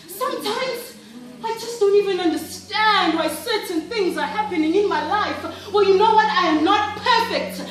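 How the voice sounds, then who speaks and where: A woman with a South African accent performing a dramatic monologue as a devout, troubled churchgoer, emphatic and theatrical, repeating phrases for effect. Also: extremely high pitch. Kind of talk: dramatic spoken-word monologue. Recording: very echoey room, distant mic, music behind.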